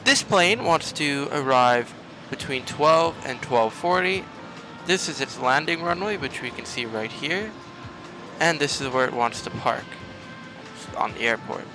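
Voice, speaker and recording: Male gaming host, mid-pitched voice, instructional step-by-step walkthrough delivery, clear music bed underneath. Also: expressive, unhurried, low-quality recording. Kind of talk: game tutorial commentary.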